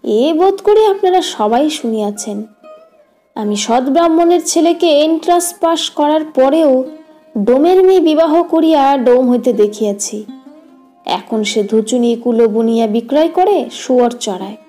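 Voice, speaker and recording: High-pitched woman narrating, mellow, over guitar music. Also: animated, moderate pace. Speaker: audiobook narrator. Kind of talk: scripted literary story narration. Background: plucked guitar music.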